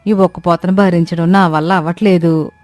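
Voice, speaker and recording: A woman voicing an astonished, nosy village neighbour in a cartoon story, with drawn-out exclamations and questions. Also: lowish voice, fairly even intonation, darkish tone, no echo, soft background music, close to an everyday voice. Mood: delighted. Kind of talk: Telugu comic cartoon story acting.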